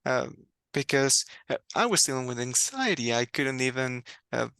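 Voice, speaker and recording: Gravelly-voiced man. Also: medium pitch, big pitch swings, moderate pace, bright, forceful tone, no room sound, studio quality. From Mexico. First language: Spanish. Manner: reflective, candid, laughs often, restarts words. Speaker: guest. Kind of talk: personal faith story in conversation.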